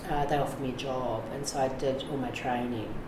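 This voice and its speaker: Middle-aged New woman, very deep voice, frank and rambling, echoey room with noise. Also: steady tone, fairly quick. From New Zealand. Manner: frank, rambling, many fillers and restarts. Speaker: addiction recovery navigator. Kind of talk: candid interview talk.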